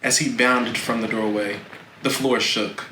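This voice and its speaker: An echoey room with faint noise surrounds a man reading a children's novel aloud. He narrates slowly and dramatically, with deliberate phrasing and a bright voice. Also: mid-range voice, steady tone. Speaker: storybook reader.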